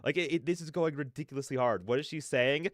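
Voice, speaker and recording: A man hosting a reaction video, giving casual, slangy and excitable commentary. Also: a little high, quick pace, dry recording, studio quality.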